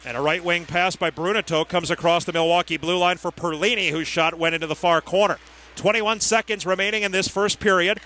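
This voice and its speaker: A man calling live hockey play-by-play as a radio announcer, in clipped running phrases with crisply enunciated names. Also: sits high, fairly even intonation, brisk, sharp tone. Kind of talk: live sports play-by-play commentary.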